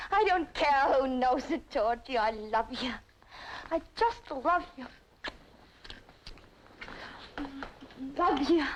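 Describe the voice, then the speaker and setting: High-voiced, emotional woman a clingy girlfriend. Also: slight background noise, poor audio. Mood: adoring. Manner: emotional, dramatic, swinging from cooing to scornful. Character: lonely, clingy, wounded girlfriend of a shady man. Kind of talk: old crime drama acting.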